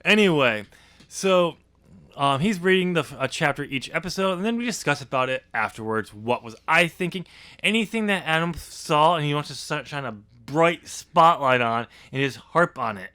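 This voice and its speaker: A man's voice: a little high, lively intonation, moderate pace, bright, forceful tone. A man chatting casually and unscripted as a podcast host, with a jokey, self-deprecating manner.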